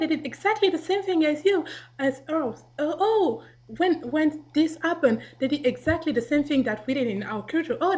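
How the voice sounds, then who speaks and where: A woman's voice: high-pitched, darkish tone. A young woman from Africa, chatting casually to a home webcam, rambling and laughing as she acts out quotes. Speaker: vlogger. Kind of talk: casual video response to the camera.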